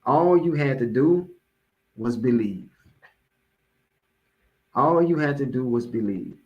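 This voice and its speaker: A man's voice: medium pitch, lively intonation, takes it slowly, lo-fi sound. Male American preacher, mid-range voice, informal motivational address, emphatic repetition, conversational with listeners. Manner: emphatic repetition of key phrases. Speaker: religious speaker giving a public address.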